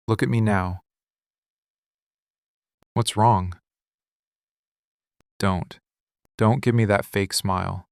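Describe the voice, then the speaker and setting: A menacing mafia boss acted out by a man speaks low and gravelly, close on a dry mic. He is controlled and deliberate, possessive and protective, giving quiet commands in an intimate ASMR audio drama. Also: dry recording. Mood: tense, commanding. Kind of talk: boyfriend ASMR roleplay, scripted dramatic monologue.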